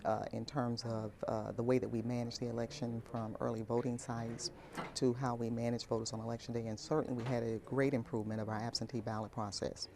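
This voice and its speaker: Flat, low-toned middle-aged American woman. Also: fairly quick, gravelly, background noise. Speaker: elections official. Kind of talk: on-camera interview answer. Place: indoor meeting room.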